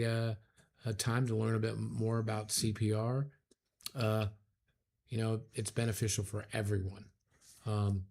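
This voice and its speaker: Gravelly-voiced mayor chatting easily. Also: male voice, mid-range voice. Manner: relaxed, filler-heavy, folksy. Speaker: town mayor hosting a virtual town hall. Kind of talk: casual chat. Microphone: video call.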